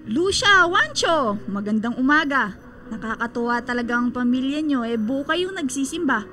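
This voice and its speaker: An actress playing a friendly, pious older neighbour in a Filipino radio drama, effusive and cheerful. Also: female voice, sits high, expressive, normal speed, bright, forceful tone, slight background noise. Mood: gushing, admiring. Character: friendly, pious older neighbourhood churchgoing lady, gushing praise.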